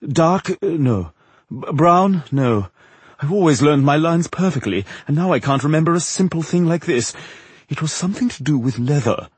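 A man voicing a theatrical, excitable actor-impresario in an audiobook, with a dramatic manner. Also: fairly high pitch, breathy edge, dry recording, pitched above the performer's own voice. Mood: flustered. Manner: dramatic, halting when flustered.

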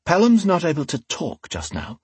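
A man voicing a wry, quietly threatening rogue in an audiobook, unhurried and mock-polite. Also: on the high side, huge pitch swings, breathy edge, no echo, voice pushed up, heavily acted. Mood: wry, menacing. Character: cool, menacing male rogue with dagger, wryly amused. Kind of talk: dramatic audiobook character voice.

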